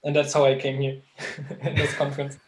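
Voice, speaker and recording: A nineteen-year-old man from Germany, a volunteer, introducing himself briskly on a video call. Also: mid-range voice, monotone, slight background noise, low-quality recording. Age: teens. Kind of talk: casual self-introduction.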